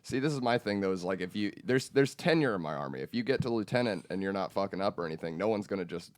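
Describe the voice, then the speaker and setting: A man co-hosting a podcast, arguing his point in fast casual chat with lots of restarts. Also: deep voice, lively intonation, no echo, studio quality. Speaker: podcast co-host.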